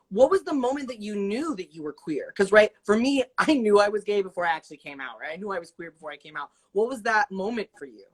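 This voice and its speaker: A woman's voice: mid-range voice, husky. A woman in her twenties hosting an interview over a video call, affirming and thoughtful, with many fillers as she asks questions. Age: 20s. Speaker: host. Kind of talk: casual interview chat.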